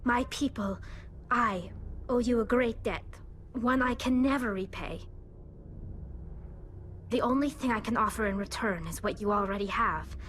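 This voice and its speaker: A woman's voice: a little high, unhurried, pauses often, a bit bright, a little hiss or hum. A woman voicing an earnest, loyal young alien woman engineer who speaks formally and from the heart. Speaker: crew member.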